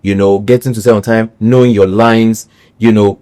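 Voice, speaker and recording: A man's voice: on the low side, steady tone, moderate pace, warm tone. A middle-aged Nigerian man with a Nigerian accent, an actor speaking earnestly as a guest in an interview and repeating phrases for emphasis. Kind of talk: conversational interview.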